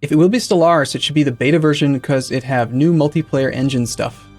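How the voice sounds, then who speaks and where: Streamer type: young man, slightly high voice, loose rambling chat, faint music underneath. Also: fast, darkish tone. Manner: rambling, self-correcting, reads and answers chat. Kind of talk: casual live-stream chatter while setting up a game.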